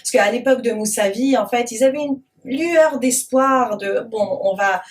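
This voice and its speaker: Impassioned woman, slightly off-mic, higher voice. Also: moderate pace, far from the mic. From France. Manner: impassioned, indignant, many restarts. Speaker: talk show guest. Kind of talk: heated talk show discussion.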